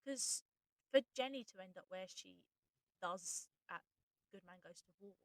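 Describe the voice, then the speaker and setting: A woman chatting informally about audio drama as its writer and voice actor, explaining things in a casual, animated way. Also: medium pitch, a little slow, no echo, low-quality recording. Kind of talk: casual behind-the-scenes chat.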